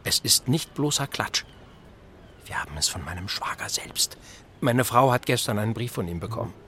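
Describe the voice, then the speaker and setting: An actor playing a genial, blunt older married admiral in a German radio drama, talking in a chatty, emphatic, hesitating way. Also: male voice, fairly low pitch, expressive, moderate pace, pushed and bright, slight background noise. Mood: assured, emphatic.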